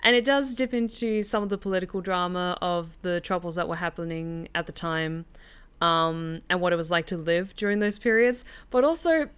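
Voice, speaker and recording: A woman's voice: lowish voice, moderate pace, a bit bright, clean tone. A woman streaming a game, chatting casually and rambling with fillers and swearing. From Australia. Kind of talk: casual let's-play commentary. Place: at home, in the UK.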